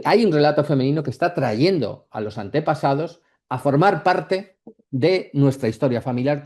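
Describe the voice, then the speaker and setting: A man lectures in an academic masterclass. His voice sits at a medium pitch with a dark, relaxed tone. He thinks aloud in a reflective, halting way and punctuates his points with little check-in tags. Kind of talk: masterclass lecture, speaking off the cuff.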